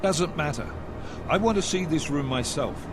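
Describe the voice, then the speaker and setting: A man's voice: a little high, animated, moderate pace, close to an everyday voice. A man playing a brusque, authoritative, no-nonsense agency commander in a video game cutscene. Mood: firm. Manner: firm, no-nonsense. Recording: clear outdoor traffic-like noise behind.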